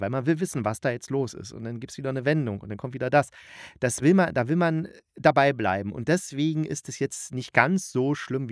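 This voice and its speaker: A man's voice: medium pitch, dry recording, studio quality. A man hosting a podcast, giving a chatty review while thinking aloud and correcting himself. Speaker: podcast host and radio drama critic. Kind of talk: chatty, loosely scripted review monologue.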